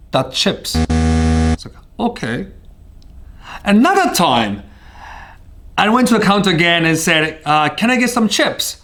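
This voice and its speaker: A man's voice: high voice, fairly bright tone. A Japanese man in his thirties, a native Japanese speaker with a light British-tinged accent, telling his life story to camera in a chatty way with frequent fillers. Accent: Japanese speaker of English with British traces. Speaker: vlogger. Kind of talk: casual personal storytelling to camera.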